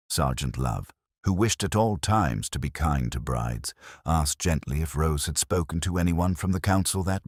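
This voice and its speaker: A man narrating a mystery story as a scripted reading, in a measured, dryly literary manner. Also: a bass voice, no room sound, clean studio sound.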